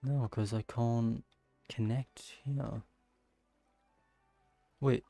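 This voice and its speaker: A man's voice: mid-range voice, fairly even intonation, extremely slow, creaky, mellow, dry recording, faint music behind. A man giving casual live gameplay commentary, thinking aloud and exclaiming to himself as he plays. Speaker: gaming streamer playing and commenting.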